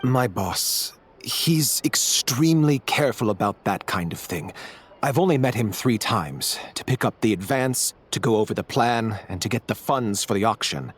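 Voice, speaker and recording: A man's voice: fairly low pitch, expressive, normal speed, husky. A man voicing a wary, obliging smuggler turned informant in a video game, explaining things in a guarded way. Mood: uneasy, cooperative.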